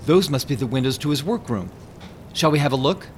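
An actor playing a curious, cautious visitor in a radio drama, polite and a little hesitant. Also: male voice, on the high side, expressive, brisk, slight background noise, natural voice. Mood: curious, uneasy.

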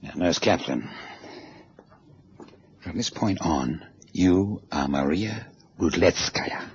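A crisp, low-voiced operation chief. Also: male voice, animated, slow pace, darkish tone, highs cut off. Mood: brisk. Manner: crisp, instructive, polite. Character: brisk male operation organizer briefing agents. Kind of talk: 1960s radio drama acting. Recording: slightly hissy old radio recording.

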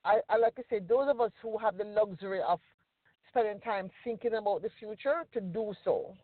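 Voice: mid-range voice, fairly quick, no echo. A podcast host from the Caribbean speaks in an earnest, thoughtful way and keeps checking in with listeners. The sound is thin and phone-like, and the voice is dry and close with no room around it. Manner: earnest, reflective, with frequent "you know" and "okay?" checks. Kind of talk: casual discussion.

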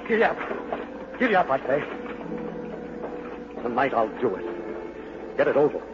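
A man's voice: medium pitch, big pitch swings, a little slow, over music. An actor playing an obsessed, tense man muttering urgently to himself in a 1940s radio drama. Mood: feverish urgency. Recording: muffled old radio recording.

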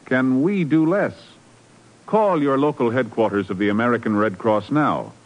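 A man's voice: mid-range voice, moderate pace. A man giving a formal, earnest scripted appeal as a 1950s radio announcer. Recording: muffled old radio recording.

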